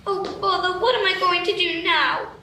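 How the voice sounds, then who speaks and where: Woman as curious young girl, very high, bright, roomy echo, distant mic. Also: fairly even intonation, moderate pace, slight background noise. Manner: wondering, apologetic, chatty. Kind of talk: live radio play acting.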